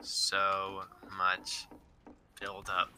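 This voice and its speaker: A man's voice: sits low, completely flat delivery, very slow, sharp tone. A man chatting casually as he plays along in a video game. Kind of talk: casual gaming chat.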